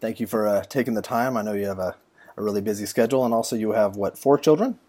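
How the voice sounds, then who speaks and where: A man hosting a casual interview podcast. His voice is on the low side and husky. The sound is dry and close, with no room around it. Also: quick pace, warm tone, no room sound. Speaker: podcast host.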